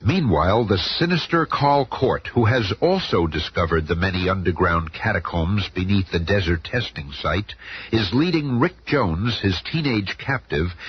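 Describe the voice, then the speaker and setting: A man's voice: lowish voice, very animated, a little slow. A man narrating a radio drama in a grandiose, suspenseful scripted style. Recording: muffled, very noisy old radio recording.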